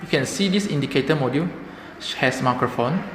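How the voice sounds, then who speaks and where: A man's voice: mid-range voice, fairly quick, fairly bright tone. Echoey man presenting a product demo. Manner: simple step-by-step explaining. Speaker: product demonstrator. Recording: echoey reverberant room, background noise.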